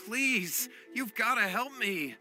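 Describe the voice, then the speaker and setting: A man's voice: high-pitched, animated, slow pace. A man playing an earnest, anxious, deferential young martial artist in an anime-style game, hesitant and pleading. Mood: worried pleading. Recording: background music.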